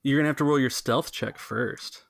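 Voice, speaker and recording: Creaky-voiced man running a tabletop game. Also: mid-range voice, normal speed, no room sound, studio quality. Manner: conversational, improvising, thinking aloud. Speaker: game master. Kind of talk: casual tabletop roleplay narration and rules talk.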